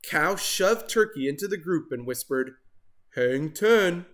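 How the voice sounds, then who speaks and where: A man reading a children's picture book aloud as an expressive, scripted narration. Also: a little high, normal speed. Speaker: storybook reader.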